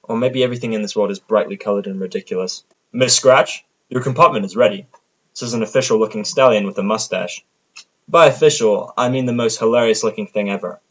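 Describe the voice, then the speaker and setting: A man's voice: fairly low pitch, fast, highs cut off. A man narrating a first-person audio drama from a script, with a sardonic manner.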